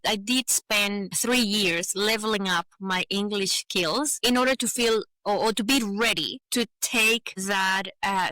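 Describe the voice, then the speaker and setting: A woman's voice: medium pitch, bright, forceful tone, smooth, dry recording, rough recording. A woman with a Venezuelan accent, a native Spanish speaker, talking earnestly and explaining her points in a conversational interview, often adding "you know". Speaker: podcast guest, advocate for migrant professionals.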